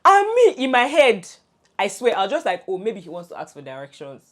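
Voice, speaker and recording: A woman's voice: medium pitch, fairly quick. A young Nigerian woman co-hosting a casual podcast, telling stories with laughter and many restarts. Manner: animated storytelling, laughs often, many restarts. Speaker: podcast co-host. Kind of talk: casual chat between best friends.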